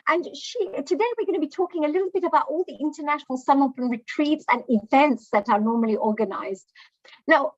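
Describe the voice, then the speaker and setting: High-voiced effusive woman host, dull sound. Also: dry recording. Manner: warm, effusive, with many fillers. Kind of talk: livestream interview hosting.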